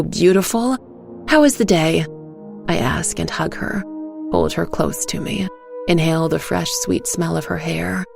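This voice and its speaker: A woman storyteller tells a dark fairy tale in the first person, with a low, gravelly voice. Her delivery is quiet and worn. Music plays clearly beneath her. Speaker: narrator. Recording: music under the narration.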